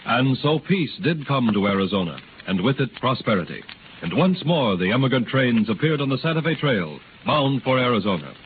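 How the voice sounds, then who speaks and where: A man's voice: medium pitch, very animated, normal speed. A man delivering grand, declamatory scripted narration in 1930s radio style. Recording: tinny, noisy 1930s radio recording.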